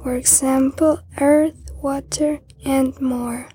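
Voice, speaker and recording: A twelve-year-old girl, a German speaker, reading her school text aloud in a soft, half-whispered way. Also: sits high, steady tone, slow pace. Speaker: student reading own text.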